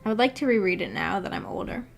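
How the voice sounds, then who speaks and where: A woman hosts a casual livestream in a medium-pitched voice. She is hesitant and self-effacing, often giggly, as she reads chat messages and responds to them. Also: lively intonation, quick pace, vocal fry, soft background music. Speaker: livestream host. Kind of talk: casual livestream chat, reading and answering viewer comments.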